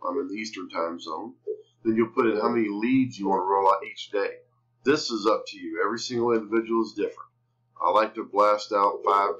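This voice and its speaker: A man with a fairly low voice walks viewers through a marketing product like a casual online salesman. He repeats himself for emphasis and often tags his sentences with a quick question. The sound is a little dull, like screen-capture audio. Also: a bit bright. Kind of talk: casual sales pitch over a screen-share tutorial. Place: indoors at a computer.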